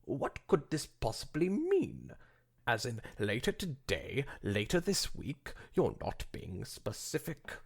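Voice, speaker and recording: A man's voice: medium pitch, huge pitch swings, takes it slowly, creaky, slightly rough, pitched above the performer's own voice, full character voice. A man voicing an impatient, skeptical, brash young female pegasus pony with dry, pointed questions.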